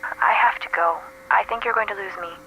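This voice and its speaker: A tender, wistful woman in love, calling from another universe in a retro sci-fi audio drama. The voice is bright and filtered like an old phonograph. Faint static hisses around it. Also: medium pitch, steady tone, brisk, gravelly. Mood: apologetic, loving. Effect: old phonograph or radio filter with static. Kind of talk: retro science fiction audio drama acting. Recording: small distant filtered voice, crackling static. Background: static noise.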